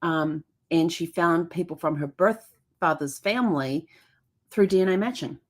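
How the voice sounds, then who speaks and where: Woman host, lower voice, many ums. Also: moderate pace. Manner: frequent ums and self-corrections, stumbling over words. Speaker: genealogy show host. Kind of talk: casual explainer episode.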